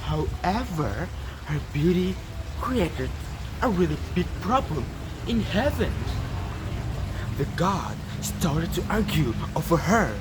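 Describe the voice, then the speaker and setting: A teenage student from Indonesia with an Indonesian accent tells a folktale dramatically, with deliberate, emphatic pauses. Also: medium pitch, very animated, background noise. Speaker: student storyteller. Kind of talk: dramatic oral storytelling for a competition.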